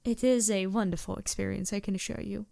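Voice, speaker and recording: A woman's voice: medium pitch, steady tone, fairly quick, creaky, dry recording, studio quality. A woman playing a polite, reassuring vampire merchant in a fantasy ASMR roleplay, speaking formally with small hesitant asides. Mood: genteel, chatty. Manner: genteel, formal phrasing with hesitant asides and soft chuckles.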